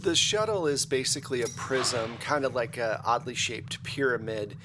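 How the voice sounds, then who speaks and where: A man's voice: medium pitch, expressive, moderate pace. Male game master, noisy recording. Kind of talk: casual improvised narration of a tabletop game.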